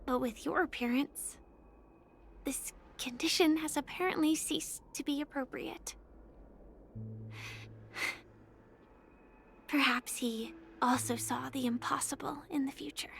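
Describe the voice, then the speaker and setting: Soft, high-voiced, earnest young woman. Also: fairly even intonation, slight background noise, exaggerated voice. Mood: thoughtful. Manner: soft, breathy, measured, earnest delivery. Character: gentle young woman with a secret, quietly sincere. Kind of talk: video game voice acting.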